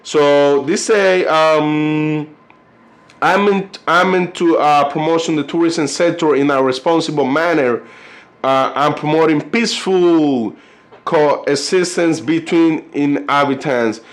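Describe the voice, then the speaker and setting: A man from Colombia with a Colombian Spanish accent, a native Spanish speaker talking casually to camera as a vlogger, rambling with lots of fillers and stumbling when he reads aloud. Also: a little high. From Cartagena, Colombia. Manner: loose, rambling, constant "you know" and "like" fillers; halting when reading aloud. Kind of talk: casual video chat.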